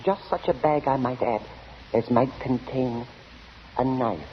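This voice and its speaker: An actor playing an elderly, insistent, eccentric gentleman witness, fussy and precise, in 1940s radio style. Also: mid-range voice, measured pace, crowd noise, lightly acted. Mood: precise, certain. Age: older. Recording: muffled, hissy 1940 radio broadcast.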